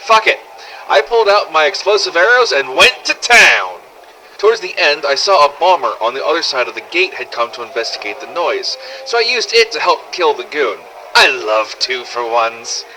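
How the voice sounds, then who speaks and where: Casting: brash male apocalypse survivor played by a man for game-style audio log, bright forceful voice, blunt and sardonic, noisy ambience behind. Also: on the high side, very animated, moderate pace. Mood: gleeful, fired up. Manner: blunt, sardonic, swearing, conversational storytelling. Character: brash, foul-mouthed adult male survivor in zombie apocalypse. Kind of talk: scripted first-person audio log narration.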